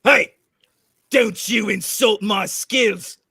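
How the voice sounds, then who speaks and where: A man acting a brash, combative space adventurer with a raspy voice in a comedic script read. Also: high voice, very slow, pushed and bright, no echo, heavily acted. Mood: indignant.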